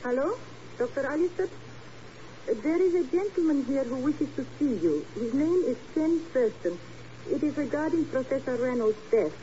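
Through a muffled vintage radio recording comes a cool, businesslike secretary. Her voice is fairly high and soft-edged, with formal, clipped phrasing that gives nothing away. It is 1950s spy drama acting. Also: lively intonation, moderate pace, a little hiss or hum. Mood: coolly guarded. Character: businesslike, guarded, coolly formal female laboratory secretary.

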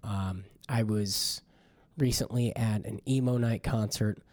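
A hoarse young man hosting a podcast in a casual, rambling monologue that turns exasperated. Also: lowish voice, steady tone, slow, a little mellow, no room sound. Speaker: podcast host.

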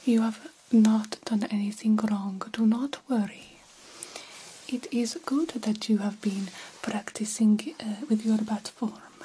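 A woman voicing a very old, self-assured vampire doctor in a soft, formal, reassuring ASMR whisper. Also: medium pitch, fairly even intonation, takes it slowly, a little hiss or hum, lightly acted, poor audio. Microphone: close microphone.

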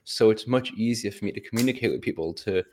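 A man in casual conversation on a podcast, relaxed and reflective, chuckling now and then. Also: lowish voice, quick pace, slight background noise. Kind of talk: casual chat. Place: indoors at home.

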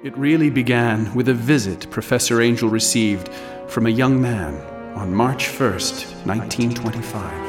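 A man playing a solemn narrator of a horror account, reading in a formal, literary, measured manner for a radio drama. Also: medium pitch, natural voice. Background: music.

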